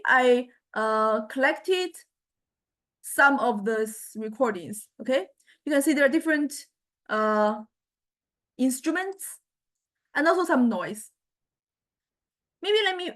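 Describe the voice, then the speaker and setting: Slow-paced woman lecturer, halting delivery. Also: sits high, expressive, fairly bright tone. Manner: halting, hesitant phrasing with frequent check-in tags. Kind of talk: software demonstration lecture, informal and unscripted.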